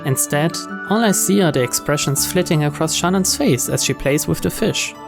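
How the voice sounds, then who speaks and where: A man's voice: on the high side, normal speed, vocal fry. A man hosting a let's play, reading a visual novel's narration aloud. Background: game music.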